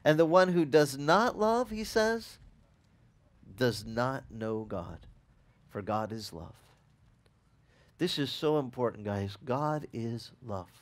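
A man's voice: mid-range voice, slow pace, pauses often. A man in his forties, a pastor who grew up speaking Italian, teaching a casual sermon outdoors on a beach with a headset mic, asking his listeners questions as he goes. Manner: animated, conversational, asks the listeners questions.

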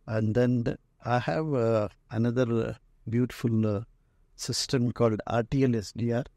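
Indian guy showing round his collection, soft dark tone, really slow with lots of pauses, dry sound. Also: mid-range voice, steady tone, dry recording. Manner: halting, pausing often mid-phrase. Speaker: museum owner and radio restorer giving a tour. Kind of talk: informal narrated walk-through tour. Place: indoor museum and workshop room full of shelves.

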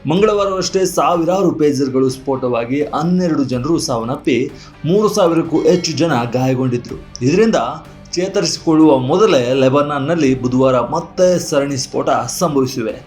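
A man reading a scripted news voice-over with brisk, emphatic headline delivery. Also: on the high side, a little mellow. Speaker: news narrator. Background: faint music bed.

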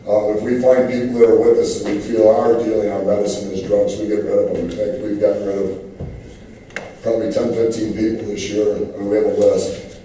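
A man's voice: lowish voice, level tone, normal speed, dark tone, slight background noise. A man who is losing his voice, speaking earnestly with many fillers during public comment. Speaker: public commenter at city meeting. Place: large council chamber, standing at podium. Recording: roomy, distant council-chamber recording.

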